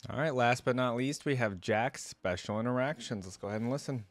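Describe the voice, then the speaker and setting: A man's voice: mid-range voice, a little fast, no room sound. A man hosting a reaction video, giving casual commentary in slangy, informal speech. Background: faint music.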